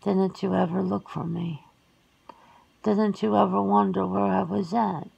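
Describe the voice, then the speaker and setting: A woman plays a lonely, long-lived vampire sister in an ASMR roleplay. She speaks in a hushed, husky murmur, slow and measured. Her wording is formal and reserved, with a wistful temper. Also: mid-range voice, flat delivery, lo-fi sound, exaggerated voice.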